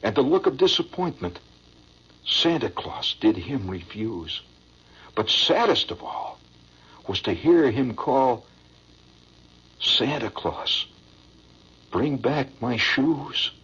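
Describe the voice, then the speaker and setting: A man performing a solemn, sorrowful father who recites an old stage monologue in a drawn-out, theatrical way. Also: mid-range voice, lively intonation, fairly bright tone, slightly rough, pitched below the performer's own voice, exaggerated voice. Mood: mournful. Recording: dull lo-fi old radio broadcast.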